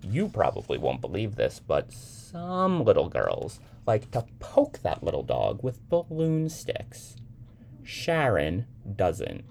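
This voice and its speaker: A man reads as a playful, odd young man in a literary story. He speaks with mock gravity and animated pitch, in a low, creaky voice. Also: takes it slowly, a little hiss or hum, full character voice. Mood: teasing, playful. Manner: whimsical, mock-serious storytelling. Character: playful, eccentric young man teasing a child, edgy with adults.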